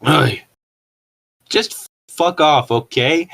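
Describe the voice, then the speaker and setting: Guy doing overwrought goth vampire teen lover, raised pitch, pleading and melodramatic, storybook parody. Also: extremely slow, gravelly, a bit bright, husky, heavily acted. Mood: pleading, upset.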